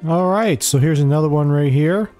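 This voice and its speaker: A man's voice: fairly high pitch, normal speed, dark tone, soft background music. A man giving a casual video game walkthrough, thinking aloud in short, offhand remarks as he plays. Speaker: gamer giving a quest walkthrough. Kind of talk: casual gameplay commentary.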